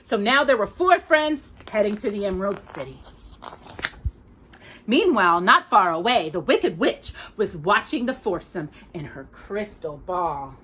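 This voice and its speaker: A woman telling a children's story in an expressive, playful way, with casual asides. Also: mid-range voice, measured pace, slight background noise.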